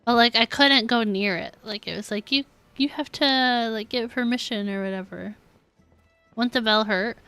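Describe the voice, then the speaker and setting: A young woman livestreamer chatting casually with viewers in a halting, conversational, giggly way while playing a game. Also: fairly high pitch, a bit bright, smooth, dry recording, soft background music. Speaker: game streamer.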